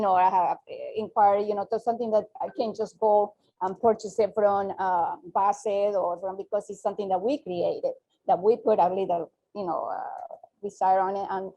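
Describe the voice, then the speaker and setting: Woman, mellow tone, hesitant, trailing soft endings, business panelist. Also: mid-range voice, lo-fi sound. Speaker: panelist, furniture company owner. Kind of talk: panel discussion talk.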